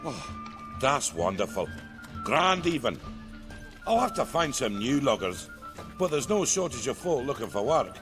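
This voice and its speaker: An actor playing an effusive, obliging middle-aged village merchant in a video game. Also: male voice, medium pitch, big pitch swings, measured pace, long pauses, over music. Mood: effusive, anxious. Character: fawning, excitable middle-aged village merchant paying a bounty. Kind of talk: video game character acting.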